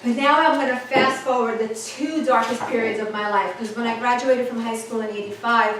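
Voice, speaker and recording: A woman's voice: a little high, a little fast, a little hiss or hum. New woman, echoey and distant. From the Bronx, New York. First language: Italian. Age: 50s. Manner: animated, candid, keeps checking in with "okay?". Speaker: motivational speaker. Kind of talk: personal story told to an audience. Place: conference room at a retreat. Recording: echoey room from far off.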